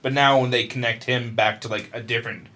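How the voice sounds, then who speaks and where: A man co-hosting a podcast, chatting casually in a halting way, padded with filler words. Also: mid-range voice, fairly even intonation, sharp tone. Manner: halting, filler-heavy with constant "like". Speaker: podcast co-host. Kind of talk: casual chat.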